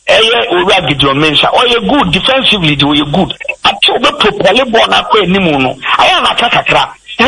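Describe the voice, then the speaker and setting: A man with a Ghanaian accent, a football pundit on a sports talk show, talking in an animated, rambling way and switching between English and Twi. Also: high-pitched, creaky, a little hiss or hum, low-quality recording. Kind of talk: radio sports discussion.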